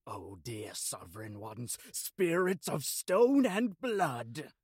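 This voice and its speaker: A man's voice: mid-range voice, huge pitch swings, takes it slowly, slightly rough, no room sound, clean studio sound, heavily acted. A man voicing an ancient, solemn, formal island spirit in an audio drama.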